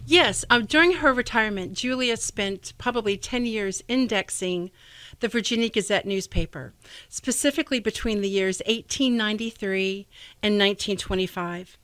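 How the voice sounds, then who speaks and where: Bright-voiced woman, close dry sound. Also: mid-range voice, normal speed, no room sound. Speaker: radio show cast member and history writer. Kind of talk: radio interview.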